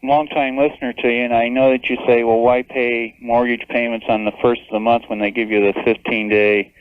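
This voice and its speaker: A man's voice: medium pitch, flat delivery, a little fast, clear, resonant tone, no echo. A man phoning a radio show as a listener, talking casually over the telephone. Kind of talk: casual phone call. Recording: narrow muffled phone line on radio.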